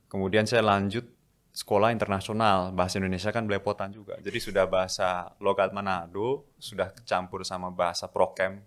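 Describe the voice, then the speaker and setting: A young man, a seminarian, telling his life story at a measured pace in a casual podcast interview. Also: low-pitched, little pitch movement. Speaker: guest, a seminarian.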